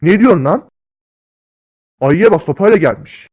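A bossy cartoon schemer, acted by a man, pitched on the high side. He is the excitable big brother of the group, scolding everyone in brash slang. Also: normal speed, noisy background. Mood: annoyed, scolding. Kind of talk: comic cartoon dialogue.

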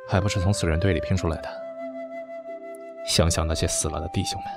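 An actor playing a devoted army brigade commander in an audio drama, weary and sincere, with a gently teasing way. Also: male voice, low-pitched, wildly swooping pitch, fast, creaky, heavily acted. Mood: weary, sombre. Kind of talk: Chinese audio drama acting. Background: background music.

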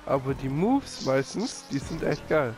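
A man with a cold hosting a casual racing game recording, excitable and quick to yell at mishaps. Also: medium pitch, soft background music. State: has a cold. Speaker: gaming channel host. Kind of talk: casual let's-play chat.

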